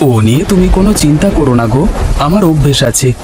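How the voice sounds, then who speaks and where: A man voicing an honest, hardworking village fisherman in an animated folk tale, in a homely, expressive way. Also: a little high, fast talker, close to an everyday voice. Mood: reassuring. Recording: faint background noise.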